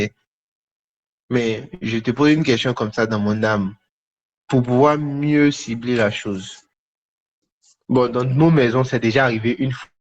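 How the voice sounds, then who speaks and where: Man preaching, dull lo-fi livestream sound. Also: medium pitch. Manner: repeats phrases for emphasis, often pausing between short phrases. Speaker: preacher hosting a live online prophetic session. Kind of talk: charismatic sermon and prophetic teaching, conversational, addressing viewers.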